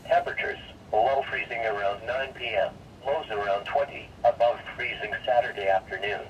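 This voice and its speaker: Distant radio sound, faint noise, male forecast announcer, mid-pitched, synthesized, formal scripted reading. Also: muffled recording. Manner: formal, impersonal, synthesized delivery. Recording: noisy, distant-sounding weather radio broadcast.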